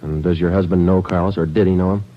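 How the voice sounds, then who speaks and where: An actor playing a terse, businesslike detective in a 1940s radio police drama, speaking in a clipped deadpan. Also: male voice, deep voice, quick pace, slight background noise, lightly acted. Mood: matter-of-fact. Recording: dull vintage 1940s radio recording.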